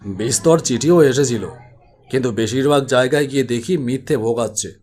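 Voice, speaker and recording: A man's voice: mid-range voice, brisk, a little mellow. A man narrating a spooky cartoon story in a dramatic, suspenseful way.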